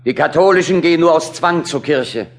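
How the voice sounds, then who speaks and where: A man's voice: fairly high pitch, brisk, natural voice. A man playing a stern, prejudiced churchgoer who declaims accusations in a German radio play. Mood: accusing. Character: prejudiced Protestant man reciting stern accusations against Catholics.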